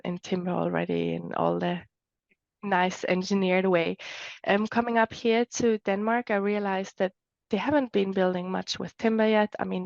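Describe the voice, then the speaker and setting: A woman's voice: medium pitch, vocal fry, dry recording, dull audio. A woman from Switzerland, a timber engineer talking as an interview guest, thoughtful and restarting small phrases as she goes. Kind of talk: interview conversation.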